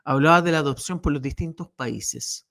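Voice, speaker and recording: A man co-hosts a radio conversation, his voice sitting a little high for a man. He hesitates often, filling gaps with "eh", and moves between Spanish and Portuguese. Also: moderate pace, vocal fry, fairly bright tone. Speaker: radio show co-host. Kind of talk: radio interview hosting.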